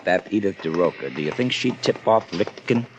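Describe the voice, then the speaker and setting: An actor playing an easygoing, steady American police sergeant in a 1950s radio crime drama, talking casually and colloquially. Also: male voice, low-pitched, normal speed, muffled recording. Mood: matter-of-fact.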